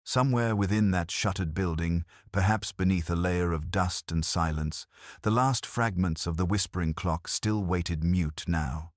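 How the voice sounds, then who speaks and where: A man giving scripted, steady narration of a first-person mystery story at a measured pace. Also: low-pitched, no room sound, studio quality.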